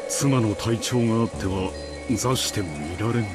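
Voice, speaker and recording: A man's voice: fairly low pitch, fast talker, loud music behind the voice, lightly acted. A man voicing a stern, formal family head. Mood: solemn. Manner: formal, solemn.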